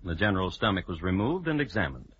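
A man's voice: sits low, very animated, quick pace, fairly bright tone. A man narrating an old-time radio crime drama with formal diction and dry irony. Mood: dry, ironic. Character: formal, drily ironic narrator of a true-crime story. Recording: muffled, noisy old radio recording.

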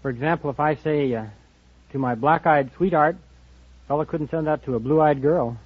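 American man, twenties, higher-pitched contestant, matter-of-fact, dull vintage radio recording. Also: normal speed, a little hiss or hum. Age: 20s. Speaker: quiz show contestant, greeting card writer. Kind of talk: interview answers. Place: radio studio before an audience. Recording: muffled vintage radio broadcast.

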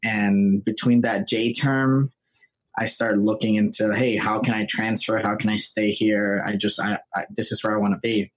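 A young man, telling his story as a podcast guest in a relaxed, chatty way. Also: fairly low pitch, moderate pace, low-quality recording. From Puerto Rico. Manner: relaxed and chatty, acts out his own past lines in quotes. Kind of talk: casual conversational storytelling.

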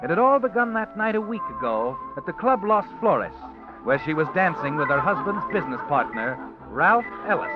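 A man voicing a brooding male narrator who walks by night, telling dark tales in 1940s radio drama style. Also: sits high, big pitch swings, normal speed. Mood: tense. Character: the Whistler, ominous male night-walking storyteller. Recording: dull old radio broadcast with music.

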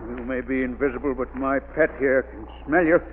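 Casting: male actor for rustic murderous schemer, mid-pitched, menacing, vintage radio thriller on a thin recording. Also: fairly even intonation, brisk, natural voice. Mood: defiant. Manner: folksy, rough grammar. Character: vengeful, menacing murderer with a pet wolf. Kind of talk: 1940s radio villain acting. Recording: noisy, phone-like old radio recording.

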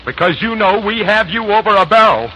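A man's voice: high voice, animated, a little fast, sharp tone. A man playing an eager, hot-headed young machinery dealer in a 1940s radio drama, with a boyish, folksy manner. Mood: angry. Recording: noisy 1940s radio recording.

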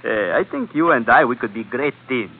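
On a tinny, faintly hissy vintage radio recording, a man plays a sly gangster lieutenant of about thirty with a Spanish accent. His halting English is insinuating and chuckling, in the manner of a 1940s radio play. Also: medium pitch, lively intonation, lightly acted. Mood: sly, friendly persuasion.

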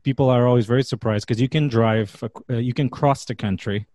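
A man's voice: medium pitch, fairly even intonation, brisk, dark tone, no echo. Friendly man, dry sound. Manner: friendly, teasing, chatty. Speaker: interviewer. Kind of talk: casual conversational interview.

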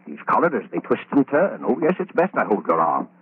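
A man's voice: mid-range voice, wildly swooping pitch, fairly quick, slightly rough, no room sound. An actor playing a genteel madman who murders women for profit, theatrical and smug, muttering repeated phrases to himself in old-time radio horror style. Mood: coaxing, relishing. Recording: muffled, thin old radio recording.

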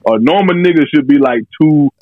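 A man in his twenties with a deep, thick voice, calling in to chat casually, halting and repeating his phrases. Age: 20s. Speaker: caller to a podcast. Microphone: phone call into the show. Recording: dull, lo-fi call audio.

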